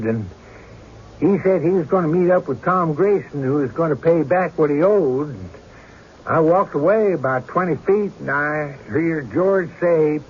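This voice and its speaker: Higher-voiced plain-spoken country man. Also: breathy edge. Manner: hesitant, rustic, plain-spoken. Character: uneasy, plain-spoken country man, card player and witness. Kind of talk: old-time radio drama acting. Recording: muffled, faintly noisy radio broadcast.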